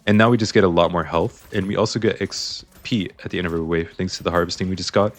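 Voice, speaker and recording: Chatty low-voiced man explaining gameplay. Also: fairly even intonation, quick pace. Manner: chatty, explains choices while playing. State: slightly strained voice. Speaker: gaming YouTuber. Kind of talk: casual game commentary.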